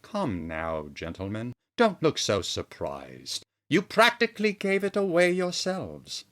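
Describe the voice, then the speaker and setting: A man's voice: medium pitch, wildly swooping pitch, measured pace, a bit bright. A man playing a pompous, precise police inspector with a formal, easily exasperated manner in a comedy audio drama. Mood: smug deduction.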